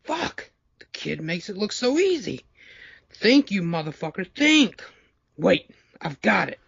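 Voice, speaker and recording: A man's voice: high voice, sing-song, measured pace, vocal fry, a bit bright, breathy edge, no room sound, lo-fi sound, heavily acted. An amateur actor playing a drugged, foul-mouthed rich man, panicky and frantic, in an audio drama. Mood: frustrated.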